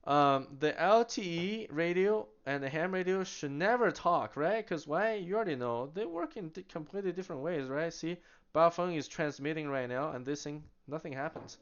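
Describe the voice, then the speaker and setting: A man with a smooth, resonant voice talks through a product demo in a relaxed, chatty way. He keeps posing questions and answering them himself, with small laughs along the way. The recording is slightly dull and lo-fi. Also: on the high side, moderate pace. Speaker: product demonstrator. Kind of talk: casual product demonstration.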